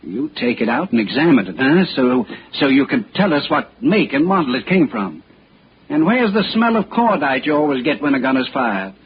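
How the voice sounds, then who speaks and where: A man's voice: mid-range voice, sing-song, moderate pace, slight background noise, lightly acted. An American actor playing a sarcastic, suspicious policeman who needles and mocks in an old radio detective drama. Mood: sarcastic, badgering. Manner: needling, mocking questions. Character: sarcastic, suspicious city policeman, bullying and mocking. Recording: muffled old radio broadcast.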